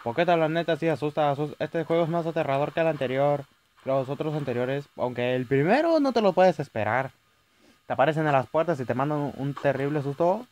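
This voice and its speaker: A young man with a Chilean accent commentating a horror game, yelling at jump scares and rambling between them. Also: a little high, expressive, brisk, slight background noise. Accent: Chilean Spanish. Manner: jumpy, shouting at scares, rambling asides. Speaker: gaming youtuber. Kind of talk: let's play commentary.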